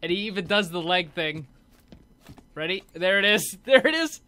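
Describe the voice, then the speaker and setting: Bright-voiced man doing casual game commentary. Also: high-pitched, expressive, moderate pace. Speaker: gaming video host. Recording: clear background noise.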